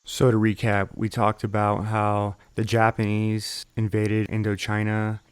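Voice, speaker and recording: Male podcast host, low even voice, dry close recording, measured history narration. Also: clear, resonant tone, dry recording. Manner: measured, steady delivery with occasional fillers. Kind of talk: reading from documents and solo history podcast talk.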